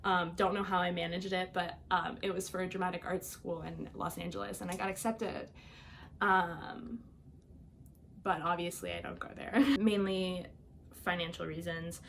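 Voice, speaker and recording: A woman's voice: on the low side, steady tone, normal speed, creaky, fairly bright tone, slight background noise. Young woman telling her story casually. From Iowa, United States. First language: Albanian. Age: young adult. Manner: casual, frequent fillers, laughs often. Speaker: guest. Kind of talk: personal storytelling.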